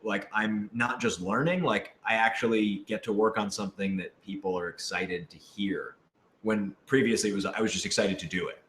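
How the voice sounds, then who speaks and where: A man talking casually as a cast member in a Q&A, gushing and excitable, with halting phrasing. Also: on the low side, a little hiss or hum. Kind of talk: casual chat. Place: indoor office used for rehearsals. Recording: echoey room.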